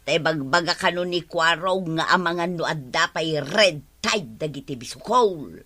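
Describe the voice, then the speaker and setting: A woman's voice: low-pitched, lively intonation, normal speed, sharp tone, exaggerated voice. An actress playing a chatty, exclamatory village peddler woman in a comedic radio drama. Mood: doubtful.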